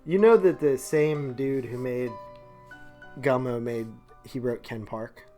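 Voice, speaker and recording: Female podcast co-host, notably deep voice, slangy and teasing, music underneath. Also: takes it slowly, warm tone. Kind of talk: casual banter.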